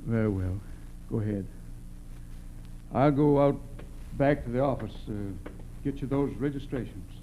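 A man's voice: mid-range voice, unhurried, long pauses, warm tone, lightly acted. An American actor playing a nervous, evasive male garage owner who stammers in a 1940s radio drama. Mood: uneasy. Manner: hesitant, stammering. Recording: noisy, humming vintage radio recording.

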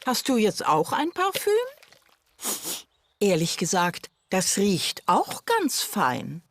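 An older woman voicing a kindly, doting grandmother pig in a cartoon, gentle, encouraging and expressive. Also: mid-range voice, takes it slowly, no room sound, exaggerated voice. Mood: kindly, delighted.

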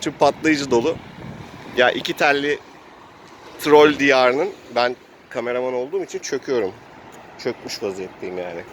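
A man with a mid-pitched voice hosts an improvised role-play adventure like a game master. He tells the action in a casual storytelling way, adding his own spoken sound effects. He is recorded outdoors, with light urban and vehicle noise behind him. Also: moderate pace, pauses often, a bit bright.

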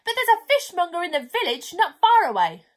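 Woman as an eager high-voiced young boy. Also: sing-song, fairly quick. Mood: eager, calling. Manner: loud, clear calling out. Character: eager, polite young boy calling up to animals.

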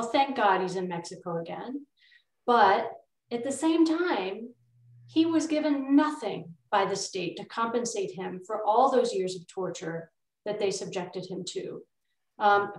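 A middle-aged woman with an American accent, speaking earnestly and emphatically as an attorney on a video-call panel. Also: mid-range voice. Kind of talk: panel discussion remarks. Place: indoor room, on a video call. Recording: echoey, distant video-call sound.

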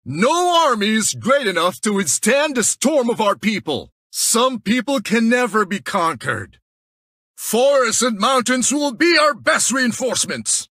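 A man's voice: extremely high pitch, very animated, measured pace, pushed and bright, no room sound, clean studio sound, full character voice. An actor playing a proud, defiant warrior chieftain defending his homeland, with heroic, declamatory battle lines for a video game. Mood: proud resolve.

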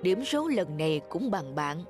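Low-voiced calm, firm father played by a woman. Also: unhurried. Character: calm, firm middle-aged father and school principal. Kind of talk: animated cartoon voice acting. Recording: clear music behind the voice.

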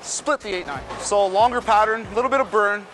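A man in his thirties giving relaxed running commentary while bowling in a large indoor bowling alley, talking through each shot and adjustment. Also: high voice, fairly quick, over music, low-quality recording. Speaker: bowling ball reviewer.

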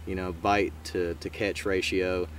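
A man, co-hosting a fishing channel and explaining gear in a relaxed, rambling way with many fillers. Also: sits low, unhurried. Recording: slightly distant mic with faint noise.

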